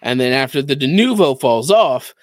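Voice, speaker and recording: A man's voice: medium pitch, expressive, normal speed, dry recording, studio quality. A man casually explaining gaming news as a podcast co-host.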